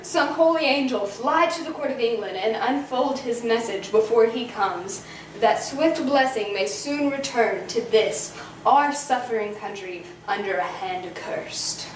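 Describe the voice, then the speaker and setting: A woman's voice: fairly high pitch, measured pace, reverberant, distant mic, slight background noise, natural voice, poor audio. A woman playing a worried nobleman who speaks earnestly and asks questions. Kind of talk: amateur Shakespeare performance.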